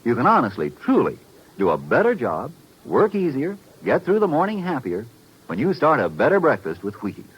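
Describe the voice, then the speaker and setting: An American man pitches a product on vintage radio, his voice leaping up and down in exuberant, folksy patter. The tone is husky, and the old recording is dull and lo-fi. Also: wildly swooping pitch, fairly quick. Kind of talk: 1950s radio advertising. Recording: dull, band-limited 1950s radio recording.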